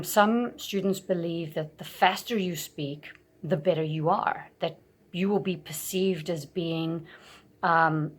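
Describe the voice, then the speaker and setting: A woman from Africa, a teacher talking casually to her students, full of ums and restarts. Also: fairly low pitch. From South Africa. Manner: chatty, many fillers and restarts. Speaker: English teacher. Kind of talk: casual talk to camera.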